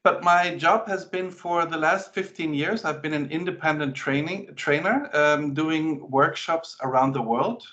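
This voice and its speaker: A man's voice: a little high, a little slow, hardly any pauses, pushed and bright. A middle-aged man from Denmark, a native speaker of Danish and German, giving a conversational webinar presentation as an export sales trainer over a video call. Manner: conversational, stop-start explaining. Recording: slightly muffled webinar audio.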